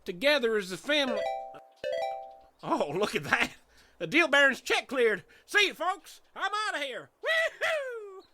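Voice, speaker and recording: A man playing an excitable, fickle pig farmer in a comic western audio drama. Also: very high voice, measured pace, faint music behind, heavily acted. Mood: gleeful.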